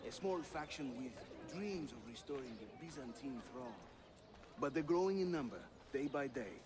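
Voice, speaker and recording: A man's voice: medium pitch, animated, normal speed, background noise, natural voice. An actor playing a jovial, wry Ottoman assassin leader in a video game, with a Turkish accent and a theatrical, teasing manner. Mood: wry, confiding. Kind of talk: dramatic game cutscene acting. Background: music behind the voice.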